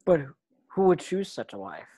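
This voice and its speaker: A man's voice: on the high side, big pitch swings, moderate pace, warm tone, no echo. A man playing an earnest, brave schoolboy wizard in an amateur screenplay table read. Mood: puzzled, uneasy.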